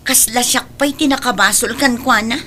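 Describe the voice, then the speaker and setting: An actress playing a dutiful, exasperated young adult daughter and sister in a radio drama, earnest in manner. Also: female voice, on the high side, moderate pace, bright, forceful tone. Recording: faint background noise.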